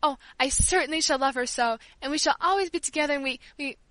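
A woman voicing an impulsive, excitable young girl in a storybook reading, talking eagerly and pleading. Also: high-pitched, a little fast, no echo. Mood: excited. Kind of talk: audiobook character voice.

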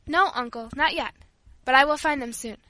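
Woman as an earnest, high-voiced pleading girl. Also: normal speed, pushed and bright, dry recording. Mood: eager, confident. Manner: timid, imploring, polite. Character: earnest, pleading, devout young orphan girl.

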